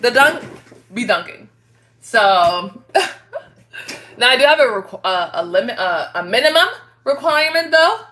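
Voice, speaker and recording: A woman hosting a casual livestream, chatting to her viewers in an animated, giggly, slangy way. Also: fairly high pitch, measured pace, long pauses. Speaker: livestream host reading and answering viewer chat.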